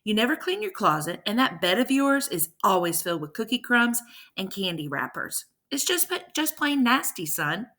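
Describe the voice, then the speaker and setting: A woman's voice: medium pitch, big pitch swings, moderate pace, bright, forceful tone. A woman voicing an affectionate, teasing mother in a storybook reading, with emphatic delivery. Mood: teasing disapproval.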